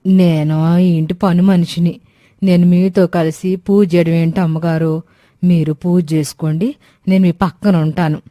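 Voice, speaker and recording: A poor village servant woman from a moral story, acted by a woman. She is humble and deferential, with a low, dark voice and a dry sound. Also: level tone, unhurried, no echo, lightly acted.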